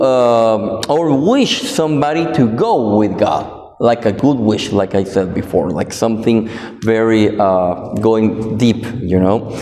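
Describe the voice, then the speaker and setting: A man from Guatemala whose first language is Spanish, speaking with a Guatemalan Spanish accent as he delivers a sermon, pausing often with fillers and restarts. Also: medium pitch, warm tone. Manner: halting, many fillers and restarts. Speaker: preacher. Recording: echoey hall with long reverb.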